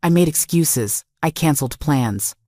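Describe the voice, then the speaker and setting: A woman with a low voice delivers scripted, dramatic first-person narration. Her manner is confessional, leaning on short emphatic phrases. The recording is dry and close. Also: lively intonation, unhurried, dark tone, dry recording. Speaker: story narrator.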